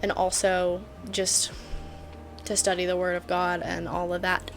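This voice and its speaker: A woman's voice: lowish voice, completely flat delivery, measured pace, vocal fry, soft background music. A twenty-year-old woman talking casually to camera at home in a chatty vlog, joking and full of fillers. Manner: bubbly, joking, filler-heavy. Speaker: vlogger.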